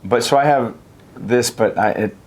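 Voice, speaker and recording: A man's voice: mid-range voice, moderate pace. A man from the United States, an actor guest in a backstage interview, telling stories in an easygoing, chatty way with frequent restarts. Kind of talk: casual interview storytelling. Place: backstage room at a theater.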